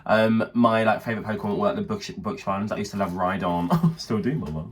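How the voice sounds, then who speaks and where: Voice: low-pitched, fairly quick, recorded from a distance. A British podcast host in their twenties chatting casually in a campy, slangy way. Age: 20s. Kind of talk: casual chat.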